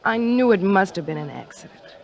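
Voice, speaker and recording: An actress playing a tender, adoring sweetheart with a soft, intimate delivery in an old-time radio drama. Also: female voice, medium pitch, lively intonation, a little fast, gravelly. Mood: tender, regretful.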